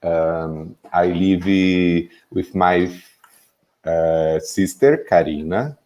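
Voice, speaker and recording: A man with a Brazilian accent speaking English haltingly on a video call, with many hesitant fillers. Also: low voice, warm tone, highs cut off. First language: Portuguese. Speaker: guest, psychologist.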